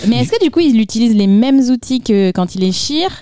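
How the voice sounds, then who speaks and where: A woman co-hosting a podcast in casual chat, playful and quick with guesses, often pausing on fillers. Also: on the high side, lively intonation, dark tone, clean tone, no room sound, clean studio sound. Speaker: podcast co-host.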